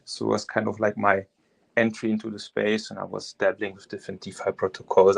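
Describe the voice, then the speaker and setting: A man's voice: fairly low pitch, creaky. A man whose native languages are German and French, speaking English with that accent as a guest, careful and a little hesitant. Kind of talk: casual interview talk.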